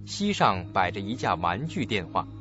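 A man's voice: on the low side, very animated, moderate pace. A man narrating a radio drama in a dramatic, scripted storytelling style. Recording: muffled dull recording with loud music.

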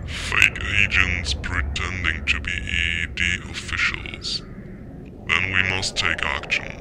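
A man playing a grave, calculating spymaster in a science fiction audio drama, speaking in a measured, ominous way. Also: low voice, flat delivery, gravelly, noisy background, exaggerated voice. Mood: grave, analytical. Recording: music behind the voice.

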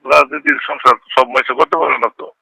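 A man's voice: medium pitch, fairly even intonation, quick pace, creaky, sharp tone, breathy edge, no room sound. A middle-aged Bangladeshi man chatting casually on a recorded phone call, repeating himself and checking he is understood. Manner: repetitive, often checks the listener understands. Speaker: local politician.

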